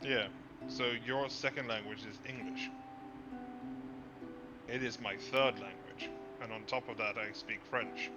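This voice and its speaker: A man's voice: mid-range voice, steady tone, unhurried, long pauses. A man chatting casually on a livestream call, joking and rambling, tripping over his words, sleepy at an early hour. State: sleepy, awake early in the morning. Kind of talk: casual chat. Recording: muffled lo-fi call audio. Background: guitar music.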